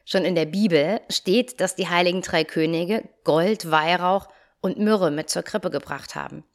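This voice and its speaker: A young woman hosting her own podcast as a yoga teacher and coach, talking in a calm, friendly way straight to the listener, her nose still a little stuffy from a cold. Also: on the low side, a little slow. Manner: calm, friendly direct address. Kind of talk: solo podcast talk.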